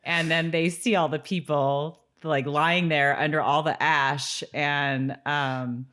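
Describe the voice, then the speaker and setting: Low-pitched woman in casual podcast chat. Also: steady tone, slow pace, a bit bright. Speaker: podcast co-host. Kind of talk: casual chat about a TV episode.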